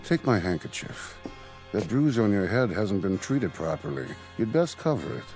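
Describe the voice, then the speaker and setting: Suave, low-voiced gentleman villain. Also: big pitch swings, creaky. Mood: solicitous, probing. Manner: polished, condescending, deliberate. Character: suave, sinister gentleman villain feigning concern. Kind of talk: radio drama acting. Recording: reverberant hall, loud music underneath.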